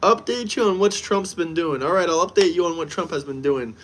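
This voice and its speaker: Live streamer from the United States, man, higher-pitched voice, brash and repetitive, casual chat. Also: fairly quick, a bit bright, a little hiss or hum.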